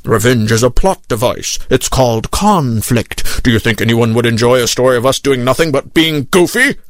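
A man's voice: a little high, measured pace, sharp tone, no echo, studio quality, voice pushed up. A man voicing a vengeful, ranting old whaling captain in a bombastic, angry comic storybook style. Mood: gruff, scolding.

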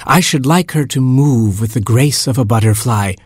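A man's voice: mid-range voice, normal speed, breathy edge, dry recording, clean studio sound, close to an everyday voice. A man doing a courtly butterfly baron. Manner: formal and flowery.

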